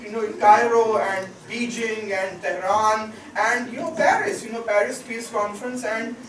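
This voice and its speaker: A man's voice: high voice, unhurried. A man on a seminar panel, lecturing at length and listing places and events. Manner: lecturing, mixes in Urdu asides. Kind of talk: academic panel discussion. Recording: roomy hall, distant mic, noisy.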